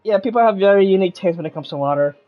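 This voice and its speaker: A woman's voice: medium pitch, racing. A woman giving casual, chatty, playful live commentary as she streams a game. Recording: dull, lo-fi mic. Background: game music.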